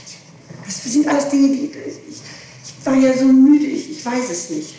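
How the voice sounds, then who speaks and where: A woman's voice: high-pitched, lively intonation, unhurried, warm tone. Hesitant woman testifying in an echoey courtroom. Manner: hesitant, evasive, repeating phrases. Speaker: defendant being questioned. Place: large echoing room, distant mic. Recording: echoey, noisy archival tape from far off.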